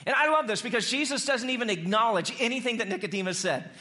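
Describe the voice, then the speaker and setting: A man preaching a conversational sermon as an animated storyteller, repeating phrases for emphasis. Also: extremely high pitch, quick pace. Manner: animated storyteller who acts out dialogue in slang.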